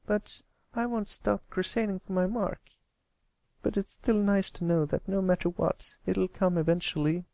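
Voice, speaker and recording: A man's voice: sits high, fairly even intonation, moderate pace, gravelly, mellow, dry recording, pitched above the performer's own voice. A man voicing a wistful young female cartoon pony with a plaintive, questioning manner in a storybook reading. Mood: hopeful. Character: wistful young filly cartoon pony, anxious about growing up.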